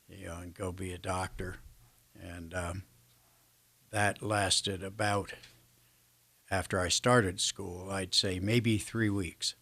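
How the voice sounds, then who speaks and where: A man's voice: deep voice, a bit bright. An older American man chatting as an interview guest, reflective and self-deprecating, feeling his way through his sentences. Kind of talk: casual anecdotal interview chat.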